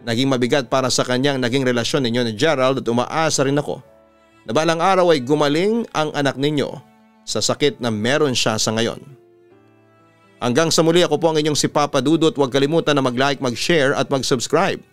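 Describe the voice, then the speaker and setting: Clear-voiced man narrating over music. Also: medium pitch, a little fast. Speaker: radio announcer and storytelling host. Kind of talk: dramatic letter reading and heartfelt narration. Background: music under the voice.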